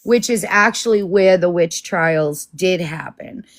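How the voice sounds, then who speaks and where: A woman's voice: lowish voice, unhurried. Chatty Boston-accented woman. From Massachusetts. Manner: chatty, trailing restarts and fillers. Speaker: witch leading a spiritual group. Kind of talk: casual group video chat.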